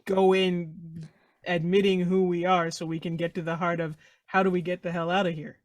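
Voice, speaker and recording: High-voiced man, casual but insistent. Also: flat delivery, normal speed, no room sound. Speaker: player in a tabletop game. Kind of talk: casual game discussion.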